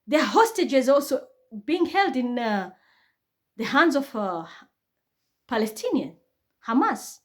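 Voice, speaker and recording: A woman's voice: high voice, very animated, slow pace, long pauses. Blunt Kenyan woman ranting. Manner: blunt, swears, rises to heated outbursts. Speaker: online commentator. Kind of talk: impassioned monologue.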